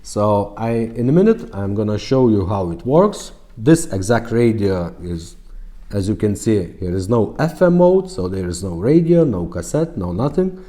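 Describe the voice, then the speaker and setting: A man's voice: on the low side, big pitch swings, normal speed, mellow. A man giving a casual, informal product demo, often checking in with short tag questions as he explains. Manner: informal, checks in with "okay?" and "right?". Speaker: presenter and car radio retrofitter.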